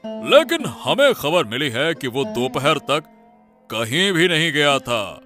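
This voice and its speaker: A man playing a troubled, suspicious king in a cartoon story, speaking in a measured, stately manner. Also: a little high, very animated, pushed and bright, soft background music, exaggerated voice. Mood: worried. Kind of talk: Hindi cartoon story dialogue.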